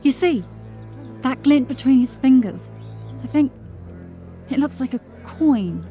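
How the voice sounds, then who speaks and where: A woman's voice: high voice, animated, unhurried, mellow, faint music behind. A woman playing an alert, level-headed city watch officer in a fantasy audio drama, observant and urgent. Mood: watchful.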